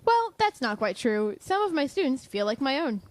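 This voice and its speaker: Woman playing professor lady, kinda high expressive voice, composed, dry, protective, audio drama. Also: normal speed. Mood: pointed, firm. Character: protective female professor, composed and quietly pointed.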